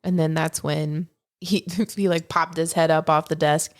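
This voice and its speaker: A woman's voice: low-pitched, flat delivery, a little slow. A woman co-hosting a podcast, chatty and upbeat, laughing easily while she talks. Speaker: podcast co-host. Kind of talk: casual podcast chat.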